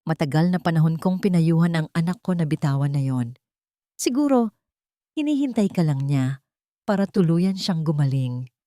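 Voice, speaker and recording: A woman voicing a warm, gentle older mother in an audiobook romance, speaking softly and tenderly in her own low voice. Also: animated, normal speed, no room sound, studio quality.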